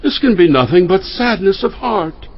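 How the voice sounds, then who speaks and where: Commanding, inquisitive king: fairly high male voice, dramatized scripture, dull audio. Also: lively intonation, normal speed. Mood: probing concern. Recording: slightly dull, muffled audio.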